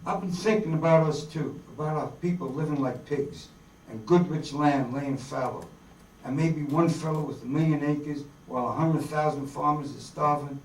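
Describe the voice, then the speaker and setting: Man acting a plain-spoken young outlaw farm son, higher-pitched, folksy rural dialect, radio drama, roomy recording. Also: moderate pace, lightly acted. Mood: troubled resolve. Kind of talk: staged radio drama reading. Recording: roomy hall, distant mic, faint noise.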